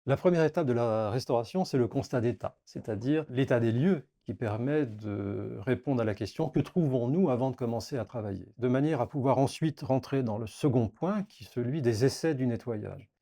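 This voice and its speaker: A man working as a painting restorer explains the craft in a measured, formal, precise way, as in a documentary interview. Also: medium pitch, dark tone.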